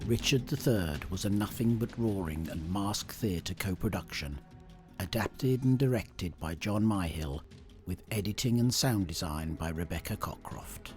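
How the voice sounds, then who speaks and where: Guy reading out play credits, lowish voice, music behind him. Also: a little slow. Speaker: credits announcer. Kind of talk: scripted narration.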